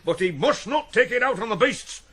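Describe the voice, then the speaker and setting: A man's voice: very high-pitched, animated, normal speed, fairly bright tone, husky, exaggerated voice. A male actor playing a stern, blunt middle-aged farm boss in a radio drama, scolding as he speaks. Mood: indignant. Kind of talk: 1980s radio drama acting.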